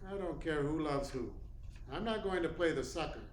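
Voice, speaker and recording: An actor playing a tough, sardonic private detective in a 1940s radio style, with clipped, commanding delivery. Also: male voice, fairly high pitch, moderate pace, lightly acted. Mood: cold, mocking. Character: hardboiled, cynical male private detective, tough and unsentimental. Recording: roomy echo with noticeable background noise.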